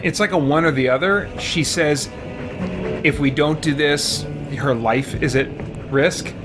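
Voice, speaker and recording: A man gives relaxed, casual commentary while playing a game. He thinks aloud and hesitates as he weighs things up, and prominent game music plays behind his voice. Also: fairly high pitch, measured pace. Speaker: let's play host.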